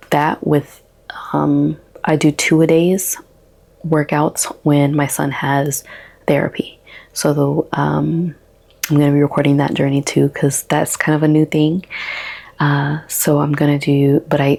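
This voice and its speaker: A woman in her thirties with a soft, relaxing voice, talking slowly and haltingly in a casual daily vlog. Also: low-pitched, vocal fry. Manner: slow, halting, with many ums. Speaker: vlogger.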